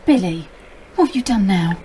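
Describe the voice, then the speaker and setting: A woman's voice: medium pitch, expressive, normal speed, noisy background, lightly acted. A woman playing a weary, suspicious working-class mother in a radio drama, speaking in a curt, guarded way. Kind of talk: naturalistic radio drama acting.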